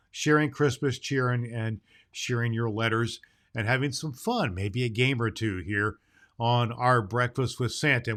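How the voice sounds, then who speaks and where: A man's voice: mid-range voice, sharp tone. A man playing a jolly, kindly Santa Claus, chatting to children in a folksy, rambling way on a festive storytelling podcast.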